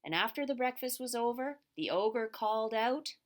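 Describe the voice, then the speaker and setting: A woman's voice: a little high, animated, normal speed. Woman storyteller narrating for children. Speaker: puppet show storyteller.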